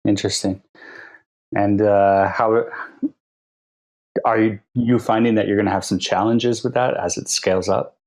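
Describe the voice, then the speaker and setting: A man's voice: fairly low pitch, fairly even intonation, unhurried, pauses often, darkish tone. A man hosting a podcast, asking an interviewee short, plain questions about his sales work. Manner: brief prompts and short acknowledgements. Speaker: podcast host.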